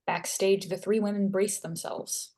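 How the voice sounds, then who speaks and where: A woman's voice: medium pitch, steady tone, measured pace, dark tone. A woman reading stage directions as scripted narration.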